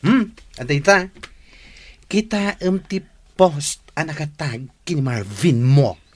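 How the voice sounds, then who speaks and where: Indignant, gossipy Ilocano friend. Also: male voice, fairly high pitch, slow, slight background noise. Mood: gossipy, urgent. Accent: Ilocano Filipino. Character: gossipy, indignant male friend bearing bad news. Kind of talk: radio drama acting.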